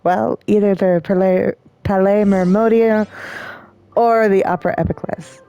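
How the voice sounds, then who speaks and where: Female game streamer. Slow, casual commentary in a medium register. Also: mid-range voice, fairly even intonation, mellow, clear, resonant tone, dull audio.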